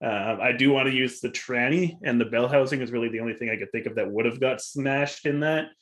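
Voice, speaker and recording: A man's voice: medium pitch, fairly quick, a bit bright. A man chatting casually as co-host of a car show, telling stories in an informal, chuckling way. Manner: chuckles through his talk. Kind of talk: casual chat and storytelling.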